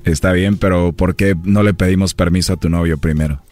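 A man with a Mexican accent, smooth-talking and flirtatious, making a prank call for a radio show. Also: low-pitched, fairly even intonation, brisk, darkish tone, soft background music. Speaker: radio prank caller posing as a suitor. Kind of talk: flirtatious prank phone chat.

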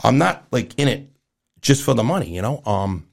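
A man's voice: medium pitch, sing-song, normal speed, vocal fry. A man in his fifties talking candidly and earnestly as a guest in a casual conversational interview. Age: 50s. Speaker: guest, event promoter.

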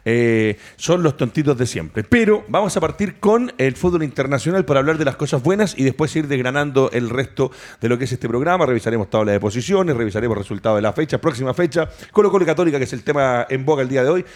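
A man hosts a sports talk show. He talks quickly, with a lot of "eh" fillers as he moves from point to point. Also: medium pitch, expressive. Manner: fast, hesitant with fillers.

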